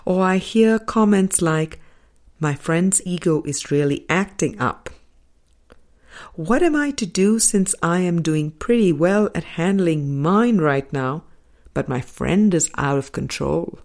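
A woman reading a spiritual audiobook as scripted narration, in deliberate, measured phrases with pauses between them. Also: on the low side, lively intonation, a little mellow. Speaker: audiobook reader.

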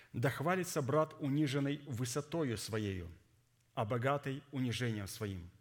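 A man delivering a sermon in a formal, didactic, deliberate way, his voice somewhat hoarse from a cold. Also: medium pitch. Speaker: preacher. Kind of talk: sermon with scripture readings and exposition.

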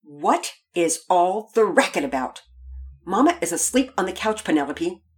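A woman's voice: on the low side, sing-song, moderate pace. A woman voicing an impatient, stern father who scolds his children in an aggravated way, in a children's story.

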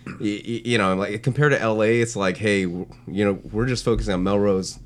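A man's voice: on the low side, steady tone, fairly quick, slight background noise. A man co-hosting a casual chat, talking in a rambling, slangy way with plenty of "like" and "you know". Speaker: podcast co-host, street art blogger.

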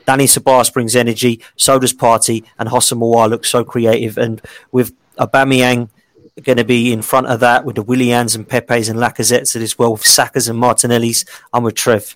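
A man's voice: medium pitch, flat delivery, a little fast, no room sound. A man co-hosting a casual football chat, speaking confidently and declaratively. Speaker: podcast co-host.

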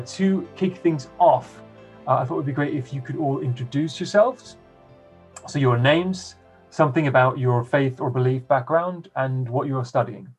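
Relaxed male podcast host, even-paced. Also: mid-range voice.

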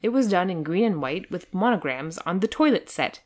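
A woman's voice: lowish voice, expressive, moderate pace, darkish tone. A woman co-hosting a podcast, moving between dramatic storybook narration and casual, amused chat. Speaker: podcast co-host and reader.

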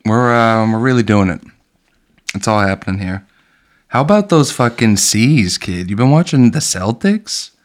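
A man's voice: fairly low pitch, lively intonation, normal speed. A man hosting a casual movie podcast, joking loosely and swearing freely. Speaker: podcast host. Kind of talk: casual chat about movies.

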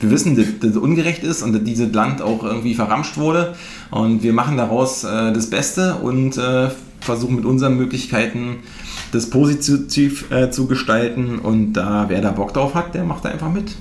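Casual podcast host from northeast Germany, chatty, fillers and tag questions, medium pitch, roomy sound with faint noise. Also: male voice, moderate pace. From Mecklenburg-Western Pomerania, eastern Germany. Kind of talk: casual conversation.

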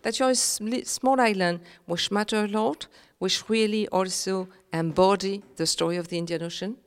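A woman from Réunion, a Creole speaker, speaking earnestly as an interview guest, halting with many fillers and restarts. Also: medium pitch, fairly even intonation, clean tone, long reverb tail. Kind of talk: reflective conversational interview.

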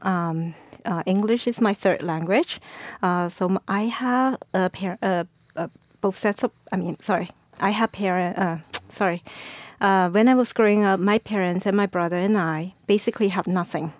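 A woman from China speaks English as an interview guest. Her voice sits in a middle pitch, and she pauses and corrects herself often. The sound is dry. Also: no echo. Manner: hesitant, with fillers and self-corrections. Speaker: guest, former school board candidate. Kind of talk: personal storytelling in an interview.

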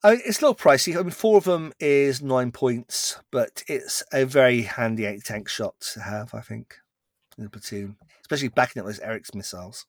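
Male podcast co-host, middle-pitched, explains rules at length, dry recording. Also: a little fast, no echo. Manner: explains rules in long runs, with many restarts. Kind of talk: casual chat about tabletop wargame rules.

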